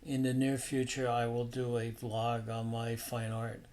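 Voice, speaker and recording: A man's voice: medium pitch, monotone, unhurried, darkish tone. A man narrating a painting demonstration as an instructor, in an informal, explanatory and somewhat hesitant way.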